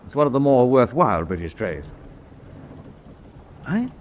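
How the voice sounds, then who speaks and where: An actor playing a principled German senior U-boat officer in a radio drama, deliberate and authoritative. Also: male voice, mid-range voice, sing-song, slight background noise. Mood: resolute.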